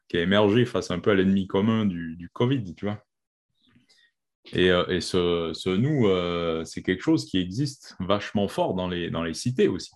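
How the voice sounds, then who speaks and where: A man who grew up in Quebec, chatting in a relaxed, slangy way with frequent verbal tics. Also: sits low. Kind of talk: casual conversation.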